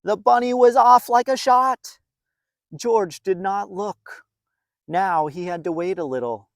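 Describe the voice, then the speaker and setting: A man reading a story aloud to young children in a playful, expressive way, with dramatic emphasis and drawn-out words. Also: sits high, no echo, clean studio sound.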